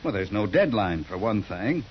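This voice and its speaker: An actor playing a stiff, self-righteous bureaucrat in a 1950s western radio drama, formal and lecturing in manner. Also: male voice, on the low side, sing-song, moderate pace. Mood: critical, prim. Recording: muffled, noisy 1950s radio recording.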